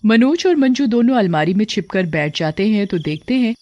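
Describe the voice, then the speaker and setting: A woman's voice: medium pitch, lively intonation, moderate pace, a little mellow, dry recording, lo-fi sound. A woman giving scripted narration for a cartoon story as the storyteller.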